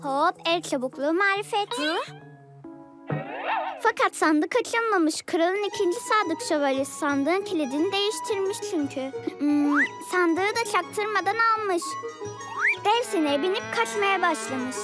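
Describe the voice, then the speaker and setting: An actor voicing a small, gentle cartoon child who tells stories earnestly. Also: very high voice, lively intonation, measured pace, over music. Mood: storytelling. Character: small high-voiced cartoon child storyteller, cautious peacemaker.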